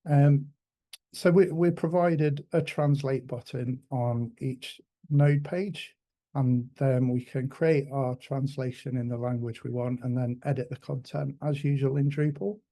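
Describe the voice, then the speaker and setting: A man giving a conference talk with a software demo, pausing often with ums and restarting sentences. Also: mid-range voice, fairly even intonation. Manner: hesitant, many ums and restarts. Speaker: conference speaker.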